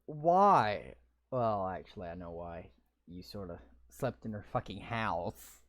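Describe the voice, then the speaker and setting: A man's voice: fairly low pitch, animated, slow pace, a bit bright, no echo. A man reading a visual novel aloud, adding casual asides and stumbling over words. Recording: game music playing under voice.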